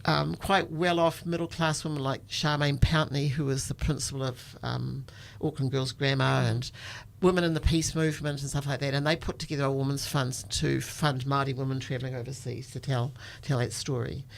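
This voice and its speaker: A woman's voice: extremely low pitch, steady tone, a little fast, vocal fry, few pauses, slight background noise. An older woman with a New Zealand accent, telling personal stories in an informal, reflective, conversational way. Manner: informal, reflective, often says "you know". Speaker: storytelling guest, activist.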